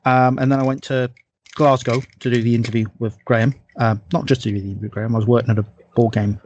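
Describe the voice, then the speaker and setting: A man co-hosting a podcast in casual hobby chat, speaking in a halting, rambling way and repeating his phrases. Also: medium pitch, level tone, darkish tone, dull audio, a little hiss or hum. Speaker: podcast co-host.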